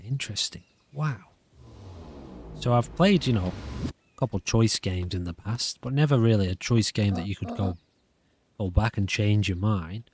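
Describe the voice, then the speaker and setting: A man with a fairly low voice hosts a casual let's play. He rambles and repeats himself as he comments, with faint game music behind him. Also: a little mellow. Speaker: gaming channel host.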